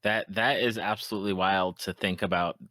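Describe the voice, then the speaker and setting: A man's voice: deep voice, steady tone, brisk, pushed and bright, no room sound. A man hosting a podcast, chatting casually and reacting to his guest. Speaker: podcast host. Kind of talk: casual chat, listening and reacting.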